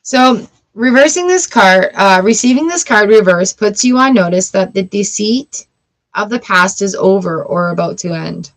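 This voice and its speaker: A woman hosting a live tarot reading, reading card meanings aloud and reassuring her viewers in a gentle, encouraging way. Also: medium pitch, rough recording. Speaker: tarot reader.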